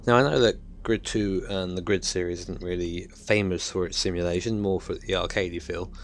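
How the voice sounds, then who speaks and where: A young man, chatting casually and hesitantly about a racing game he is playing, his voice croaky. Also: deep voice, steady tone, brisk, a little hiss or hum. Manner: rambling, hesitant, with many ums. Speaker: gaming YouTuber. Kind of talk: casual gameplay commentary.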